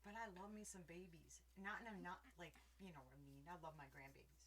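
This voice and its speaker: A middle-aged woman hosting a casual podcast, a little drunk and slurring slightly, laughing loudly as she chats from her bed at home. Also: on the low side, fast talker, a little hiss or hum. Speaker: podcast host. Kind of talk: casual tipsy chat. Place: bedroom in a trailer home.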